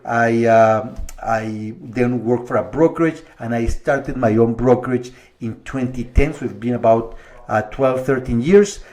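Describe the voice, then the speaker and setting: A middle-aged man from Colombia, a mortgage broker talking viewers through figures step by step in a relaxed, conversational way. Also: mid-range voice, measured pace. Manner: conversational, walks through numbers step by step, slight restarts. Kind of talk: explanatory video monologue to viewers.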